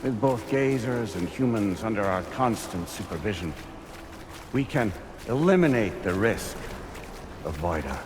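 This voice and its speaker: A man playing a calm, coldly paternal artificial intelligence in a video game, speaking in formal, measured, grandiose speeches over music. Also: fairly low pitch, noisy background. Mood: calm, commanding. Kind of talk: cinematic video game voice acting. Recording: music behind the voice.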